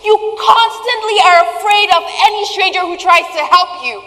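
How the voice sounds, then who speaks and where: A woman's voice: extremely high pitch, moderate pace, pushed and bright. A woman playing a defiant, idealistic customer in a stage play, speaking in an earnest, declamatory way. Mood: impassioned. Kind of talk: theatrical stage play acting. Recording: reverberant theatre hall.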